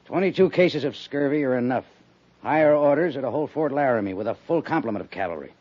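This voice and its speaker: An American man playing a brisk, stern but understanding army major in a 1950s radio drama. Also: mid-range voice, lively intonation, darkish tone, natural voice. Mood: firm, official. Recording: muffled 1950s radio recording.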